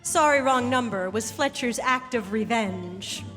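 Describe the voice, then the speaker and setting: A woman's voice: on the high side, unhurried. A woman host giving scripted narration from a theatre stage. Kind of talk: scripted narration to a live audience. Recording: reverberant theatre with jazz underscoring. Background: jazz music.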